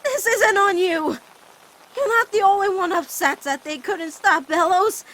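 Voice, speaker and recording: A woman voicing an anguished, devoted teenage girl in an emotional cartoon fan dub, with dramatic, halting, pleading delivery. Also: very high-pitched, a bit bright, rough recording. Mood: earnest, insistent. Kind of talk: emotional animated-series fan comic dub.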